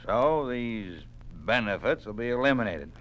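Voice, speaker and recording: An actor playing a cold, domineering communist party official in a 1950s radio drama, speaking curtly and with authority. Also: male voice, medium pitch, expressive, moderate pace, fairly bright tone, lo-fi sound, natural voice. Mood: cold, dogmatic. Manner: curt, authoritative, doctrinaire.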